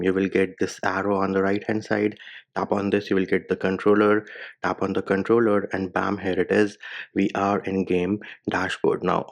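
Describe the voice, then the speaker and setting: A man's voice: deep voice, creaky. A man whose first language is Hindi, giving a step-by-step phone tutorial voice-over with casual slang. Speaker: tech reviewer.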